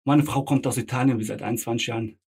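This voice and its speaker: A man's voice: mid-range voice, fairly even intonation, fast talker, gravelly, a little mellow, breathy edge. A middle-aged man with German parents from Bosnia, a tram driver, answering interview questions casually with hesitant fillers and short agreements.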